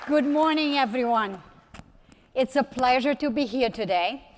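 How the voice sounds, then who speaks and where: A woman's voice: high-pitched, a little slow, a little hiss or hum. A woman from Brazil with a Brazilian Portuguese accent, giving a conference keynote speech with wry asides and emphatic repetition. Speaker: technology executive keynote speaker. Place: large conference hall. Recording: reverberant hall sound.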